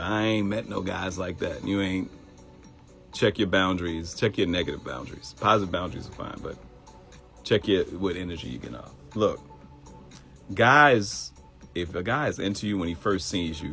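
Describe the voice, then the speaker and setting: A man's voice: low voice, a bit bright. A middle-aged man with a Baltimore accent hosting a live morning show in casual talk, addressing viewers directly and acting out quoted voices.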